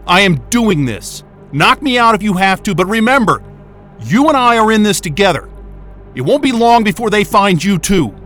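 A frantic, worn-out crewman in a sci-fi audio drama. His voice runs high and swings widely as he stammers and curses angrily, with music behind him. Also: big pitch swings, normal speed, a bit bright. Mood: desperate. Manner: agitated, sweary, stammering. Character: frantic, exhausted, angry male station crewman.

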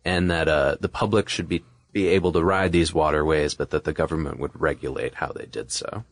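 A man's voice: rumbling low, steady tone, brisk, dry recording. A man co-hosting a podcast, explaining history in a casual, conversational and informal way. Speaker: podcast co-host.

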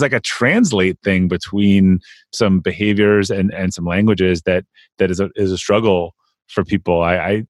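A man's voice: low-pitched, no room sound, clean studio sound. A man hosting an interview, musing aloud and repeating words as he thinks. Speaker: podcast host. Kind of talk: casual interview conversation.

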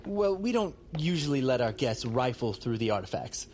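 Actor as a fussy, polite museum guide. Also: male voice, medium pitch, big pitch swings, moderate pace, darkish tone, slight background noise, close to an everyday voice. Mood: flustered. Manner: formal, polite, hesitant when flustered. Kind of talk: audio drama acting.

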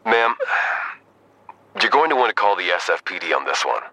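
An actor playing a weary, procedural police sergeant, measured and matter-of-fact, in an audio drama. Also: male voice, low voice, big pitch swings, sharp tone, no echo, heavily acted. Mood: politely dismissive. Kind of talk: audio drama acting, phone dialogue.

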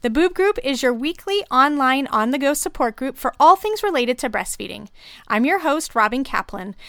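A woman's voice: high-pitched, a bit bright, clear, resonant tone, no room sound, studio quality. A woman hosting a podcast who is also a lactation consultant, reading an upbeat, scripted welcome.

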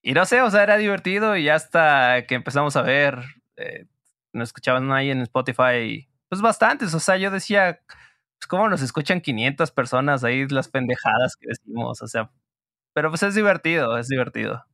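A man's voice: medium pitch, moderate pace, no room sound, studio quality. A man with a Mexican accent chatting casually as a guest in an interview, slangy and full of fillers. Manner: relaxed, slangy, many fillers. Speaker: guest, co-creator of a Spanish-learning channel.

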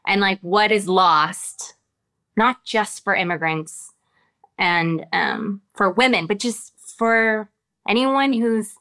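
An American woman, an actress with children of her own, giving reflective interview answers with many pauses and restarts. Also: medium pitch, sharp tone. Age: adult with children. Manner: thoughtful, halting, many restarts and fillers.

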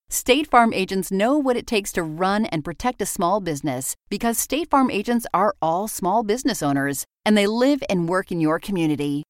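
A woman's voice: medium pitch, dry recording, studio quality. An American woman delivering a scripted sponsor message as a polished read. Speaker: ad reader.

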